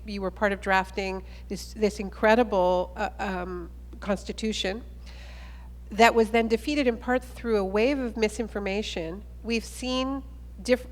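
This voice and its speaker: A woman's voice: mid-range voice, long reverb tail. A woman moderating a conference panel, asking long, thoughtful questions. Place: conference plenary hall.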